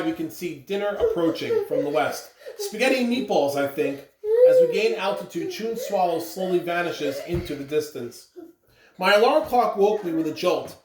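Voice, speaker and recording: A person reading a children's storybook aloud with expressive, animated delivery. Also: medium pitch, normal speed. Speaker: school staff member reading aloud. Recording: roomy, distant mic with clear background noise.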